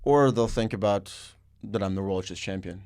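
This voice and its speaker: A young man with a lowish, smooth voice and a Dutch first language answers questions in a calm, measured way, often restarting his sentences. The recording is close and dry. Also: no echo. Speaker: interview guest. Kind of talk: casual conversation.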